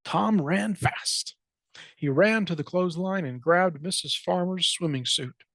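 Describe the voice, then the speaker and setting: A storyteller reading a children's picture book aloud in an expressive read-aloud style. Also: mid-range voice, a little slow, no echo. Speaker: librarian, storytime reader.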